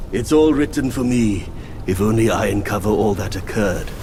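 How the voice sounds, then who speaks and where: A man's voice: on the low side, moderate pace, close to an everyday voice. An actor playing a musing old fortune-teller in a game cutscene, cryptic and sure of his readings. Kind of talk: dramatic game cutscene acting. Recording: loud ambient noise behind.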